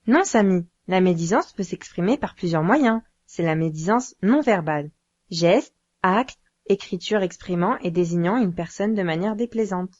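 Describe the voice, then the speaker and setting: A woman's voice: lowish voice, lively intonation, moderate pace, darkish tone, highs cut off. A woman playing a patient, solemn religious teacher in an educational children's cartoon. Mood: patient, instructive.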